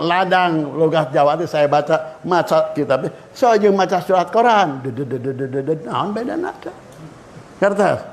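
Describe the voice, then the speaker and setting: An older man with a Sundanese accent giving a religious lecture to students, lively and switching between languages as he acts out anecdotes. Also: fairly high pitch, a little hiss or hum. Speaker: Islamic cleric.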